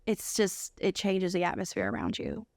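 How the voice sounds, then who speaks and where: A woman's voice: fairly low pitch, huge pitch swings, normal speed, a little mellow, no room sound, studio quality. An American woman talking casually and earnestly about personal experience as an interview guest, repeating phrases for emphasis. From United States. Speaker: podcast guest.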